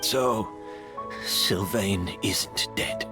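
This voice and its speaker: Slow, dramatic older master perfumer. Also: male voice, fairly low pitch. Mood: bitter, resigned. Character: older male master perfumer, worldly, bitter, obsessive. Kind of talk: scripted video game acting. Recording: loud music under the voice.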